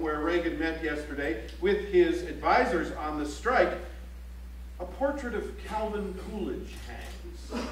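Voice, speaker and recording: Serious male reporter, high voice, stage news delivery; distant, echoey auditorium sound with faint hum. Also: fairly bright tone. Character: serious newspaper reporter reciting strike news. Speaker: stage actor. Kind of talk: theatrical reading of news reports. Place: library auditorium stage, live play.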